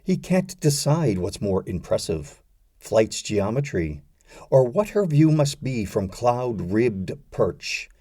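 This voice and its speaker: A man narrating a poetry audiobook, reciting scripted verse in a measured, deliberate way. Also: fairly low pitch, big pitch swings, warm tone, dry recording, studio quality.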